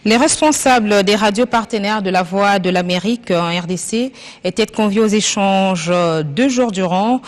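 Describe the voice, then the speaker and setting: A woman reads the TV news in a measured, formal voice and questions a guest with the same composure. Her voice sits in a middle register. A long reverb trails behind it. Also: medium pitch. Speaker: news anchor. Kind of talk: scripted news reading and studio interview.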